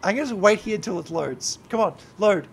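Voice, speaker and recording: A tipsy young man streams a game and rambles, cursing freely and breaking into yells at the screen. His voice sits high for a man and has a gravelly rasp. A faint hiss runs under it. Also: lively intonation, fairly quick. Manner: rambling and heavy swearing, yelling at the game. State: drunk. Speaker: YouTuber and streamer. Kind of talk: gameplay livestream commentary.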